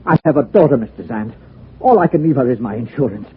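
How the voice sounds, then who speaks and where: Desperate, husky-voiced man, old radio. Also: medium pitch, lively intonation. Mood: desperate, pleading. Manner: halting, pleading. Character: desperate, evasive middle-aged man with a secret, pleading. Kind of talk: 1940s-50s American radio drama acting. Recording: tinny, band-limited vintage radio recording.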